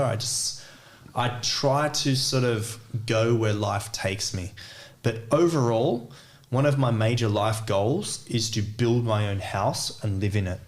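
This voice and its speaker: A man with an Australian accent, a guest on a podcast, chatting in an easygoing way with lots of "sort of" and "like". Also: on the low side. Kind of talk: casual interview chat over beers.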